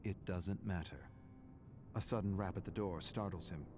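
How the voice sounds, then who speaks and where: A man reading a dramatic scripted story in a measured, brooding way, in short clipped phrases. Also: deep voice, over music. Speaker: narrator.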